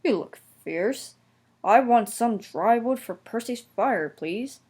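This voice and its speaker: A woman reads a storybook train guard, a man with a grumbling, put-upon manner. The voice sits a little above middle pitch and is exclamatory. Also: animated, slow, darkish tone, husky, exaggerated voice. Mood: brisk.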